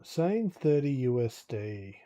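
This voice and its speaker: A man's voice: medium pitch, sing-song, slow pace, warm tone. A tired, congested man chatting casually on a livestream, rambling and thinking aloud as he plays. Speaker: game streamer. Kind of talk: casual livestream chat over gameplay.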